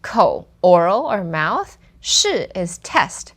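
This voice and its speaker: A woman teaching Chinese vocabulary in a lively, quick lesson dialogue. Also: on the low side, breathy edge. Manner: lively, quick, gives example sentences slowly.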